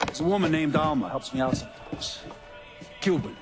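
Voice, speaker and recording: A man's voice: a little high, brisk, close to an everyday voice. A man playing a crude, cold-blooded gangster in a cinematic game, clipped, offhand and profane. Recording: loud music behind the voice.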